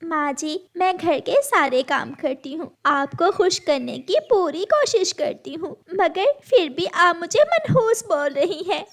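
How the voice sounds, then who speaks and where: A woman's voice: very high-pitched, lively intonation, unhurried, hardly any pauses, exaggerated voice. A woman voicing a meek, mistreated young bride bird in a cartoon, speaking politely and plaintively. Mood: hurt, pleading.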